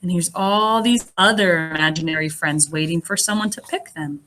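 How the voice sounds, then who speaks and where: A woman reading a picture book aloud as a teacher would to young children, expressive and pausing to explain things in simple words. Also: on the low side, rough recording. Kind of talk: animated children's read-aloud with asides.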